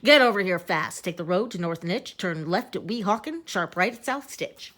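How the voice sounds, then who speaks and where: A woman's voice: medium pitch, expressive, normal speed, a bit bright, slight background noise, natural voice. Woman as a theatrical, boastful old storybook tradesman. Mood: excited, greedy. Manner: theatrical, emphatic, stretching words. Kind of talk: dramatic rhyming storybook read-aloud.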